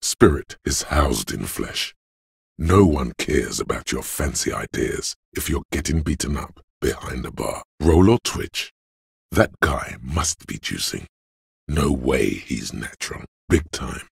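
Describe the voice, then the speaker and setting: An actor playing a gruff, macho inner voice of physical strength, hectoring like a drill coach in a video game, gravelly and commanding. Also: male voice, very deep voice, expressive, a little slow, dry recording, clean studio sound, heavily acted. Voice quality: gravelly, husky. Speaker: game character voice. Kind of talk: scripted video game narration.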